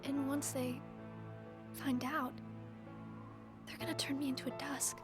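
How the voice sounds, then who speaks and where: High-voiced, slow-spoken timid girl. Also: level tone. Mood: fearful. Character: timid, earnest teenage girl in a secret order. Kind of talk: video game cutscene voice acting. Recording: game music behind the voice.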